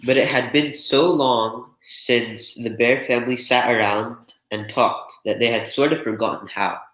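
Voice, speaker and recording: A man's voice: mid-range voice, a bit bright. Man reading a storybook in echoey room. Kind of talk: children's picture book read-aloud.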